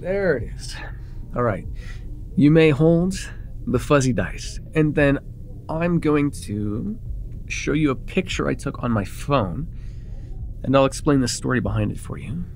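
A man's voice: medium pitch, lively intonation, a little slow, vocal fry, pauses often, darkish tone, slight background noise. A man playing an eager, awkward young vampire in an intimate ASMR roleplay, rambling nervously and stumbling over his words. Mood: chatty, playful. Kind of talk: intimate ASMR roleplay, one-sided conversation.

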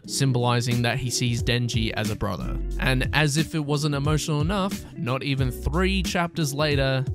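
A young adult man reading a scripted review narration in an informal, joking, sardonic way with casual asides. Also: medium pitch. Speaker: video essayist. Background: music.

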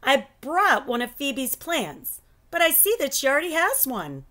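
Woman voicing genial storybook man, animated. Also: high-pitched, a little slow, pitched above the performer's own voice, full character voice. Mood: pleased. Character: friendly, pleasant man, fond of a teacher.

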